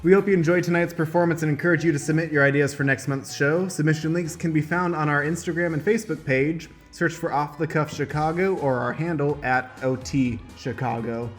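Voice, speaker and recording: Casting: male radio play host and stage manager, higher-set voice, reading directions and show notices over light music. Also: fairly even intonation, fairly quick, few pauses. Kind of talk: scripted stage narration and show announcements.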